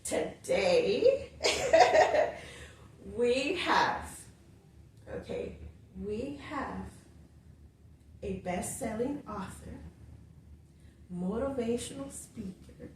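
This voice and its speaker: Female interview host, slow giggly delivery, far from the mic, roomy echo. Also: a little high, expressive. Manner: warm, giggly, slow and drawn out. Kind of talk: casual interview chat. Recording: roomy echo, distant mic, faint hiss.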